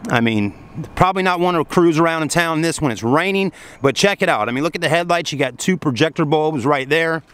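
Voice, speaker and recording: A man's voice: on the high side, lively intonation, a little fast, few pauses, fairly bright tone, clean tone. A man in his thirties giving a casual, enthusiastic walkaround of a car, camera held close. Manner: enthusiastic, rambling, lots of fillers. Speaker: car dealership vlogger. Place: outdoors in a parking lot and an open car.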